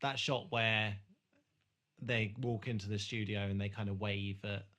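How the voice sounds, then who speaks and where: A man in casual podcast discussion, speaking thoughtfully and often pausing to search for his words. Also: on the low side, fairly even intonation, a bit bright. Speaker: podcast host.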